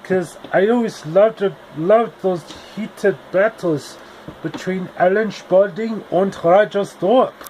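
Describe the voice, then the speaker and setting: A cranky man who won't look away from his soap opera, brushing people off in a high, drawn-out voice, as a man puts him on. He talks in an impatient, rambling way. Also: a little mellow, a little hiss or hum, rough recording. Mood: annoyed.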